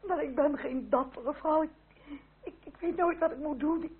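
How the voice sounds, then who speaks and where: A woman's voice: high voice, normal speed, natural voice. An actress playing a timid, anguished noble mother in an old radio melodrama, faltering and pleading. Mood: hurt, pleading. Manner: faltering, pleading, breathless exclamations. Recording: old radio recording, phone-like, faint noise.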